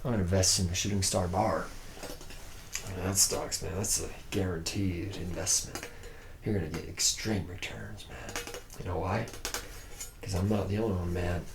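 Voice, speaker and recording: Casting: male actor as charming barfly robot mechanic, low gravelly voice, slangy rambler, intimate ASMR roleplay with noticeable noise. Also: fairly even intonation, moderate pace. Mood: rambling, boastful. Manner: rambling, slangy, buddy-buddy, slightly self-deprecating. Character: charismatic, boozy, slacker male robot mechanic, a womanizer. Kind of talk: improvised ASMR roleplay, addressing the listener as a trainee.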